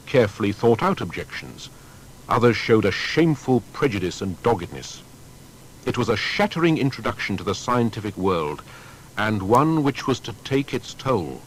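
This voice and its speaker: A man's voice: fairly low pitch, slight background noise, low-quality recording. A man narrating a scripted biographical documentary in a dramatic, emphatic storytelling manner.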